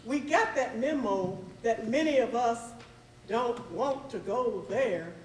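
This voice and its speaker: A woman delivers a formal stage welcome in a high, very animated voice. She phrases slowly and oratorically, as a host would. The sound is roomy and a little distant, with faint noise behind it. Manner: oratorical, deliberate, measured phrasing. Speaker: host of a readers theatre.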